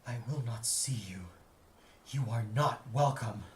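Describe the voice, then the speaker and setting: A man's voice: mid-range voice, slow, natural voice. A man playing an anguished, defiant composer and grieving father in an audio play, with an emotional, emphatic delivery. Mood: fearful denial. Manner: emotional, emphatic, swinging from mutters to cries. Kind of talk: audio play character acting. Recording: echoey, with noise behind.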